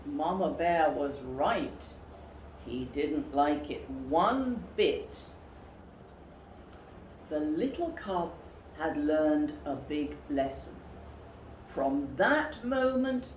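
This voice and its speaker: A woman reading a children's story expressively, with a dramatic, deliberate delivery. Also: deep voice, darkish tone. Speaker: storybook reader for a school story time. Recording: roomy, distant mic, faint background noise.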